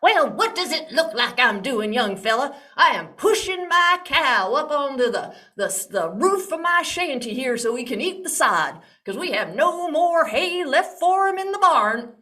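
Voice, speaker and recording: A no-nonsense old farm woman, blunt and matter-of-fact in everything she says, as a storyteller puts her on. Her voice sits on the high side, and she speaks slowly. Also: sing-song, bright, forceful tone, full character voice.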